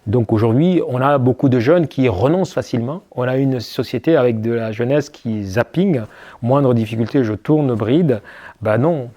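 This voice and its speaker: A man's voice: mid-range voice, normal speed, warm tone. A man as an interview guest, answering reflectively with many hesitations and restarts. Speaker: guest, army officer.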